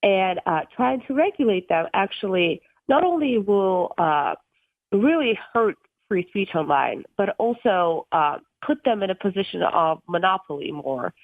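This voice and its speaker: A woman's voice: medium pitch, lively intonation, unhurried, no room sound. A woman in her twenties from Russia, a radio guest explaining policy earnestly with many ums and uhs. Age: 20s. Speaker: guest from a tech policy group. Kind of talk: radio interview on a phone line. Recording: tinny phone line, dry.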